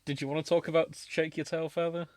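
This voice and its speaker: A man's voice: a little high, flat delivery, quick pace, crowd noise. A man hosting a comedy podcast, chatting in a jokey, sweary way. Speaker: podcast host. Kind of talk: bantering comedy podcast chat.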